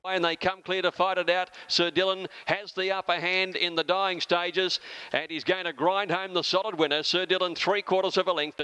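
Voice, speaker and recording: High, bright Australian male race caller. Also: steady tone, normal speed, clean tone, muffled recording. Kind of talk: live race commentary.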